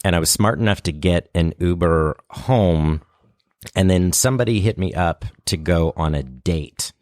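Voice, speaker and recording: A man's voice: deep voice, normal speed, a little mellow, no room sound, studio quality. A man telling a casual comic story in a conversational, self-deprecating way, as a comedian guest on a podcast.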